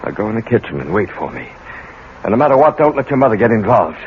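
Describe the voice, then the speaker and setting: An actor playing a weary, testy middle-aged father in a radio drama, halting and guarded. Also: lowish voice, very animated. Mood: guarded, probing. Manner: halting, guarded, repeats himself. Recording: dull, hissy vintage radio recording.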